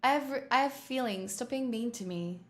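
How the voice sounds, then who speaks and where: A woman's voice: fairly high pitch, moderate pace. A young woman streamer chatting casually and rambling to her viewers. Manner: chatty, rambling, talks to chat.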